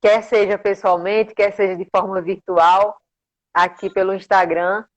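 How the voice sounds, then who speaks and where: A woman's voice: medium pitch, level tone, unhurried. Woman preacher with a strong Paraíba accent. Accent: strong rural Paraíba Northeastern Brazilian. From interior of Paraíba, Brazil. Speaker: guest preacher. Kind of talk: devotional preaching on a livestream. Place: remote social media livestream.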